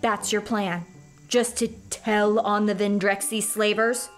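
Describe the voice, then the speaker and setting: A woman playing an earnest, conscientious starship doctor in a comic science fiction radio play, quick to object. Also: medium pitch, flat delivery, soft background music, close to an everyday voice. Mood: indignant. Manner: earnest, indignant.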